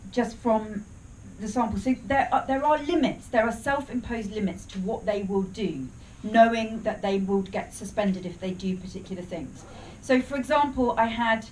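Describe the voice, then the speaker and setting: A woman's voice: a little high, a little mellow. A woman researcher, answering audience questions at a lunch briefing in a thoughtful way, often restarting her sentences. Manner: thoughtful, frequent restarts and hedges. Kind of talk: academic Q&A answer. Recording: distant mic, faint room noise.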